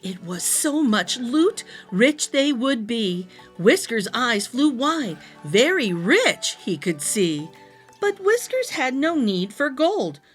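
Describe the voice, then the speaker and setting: A woman reading a rhyming picture book aloud to young children, expressively, with deliberate dramatic phrasing. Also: a little high, big pitch swings, soft background music. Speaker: storytime reader.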